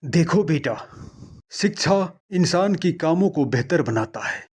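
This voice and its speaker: A man's voice: a little high, lively intonation, a little slow, husky. A man voicing a patient but firm older village farmer and father in a moral story. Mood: firm, persuasive. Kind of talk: acted moral-story dialogue.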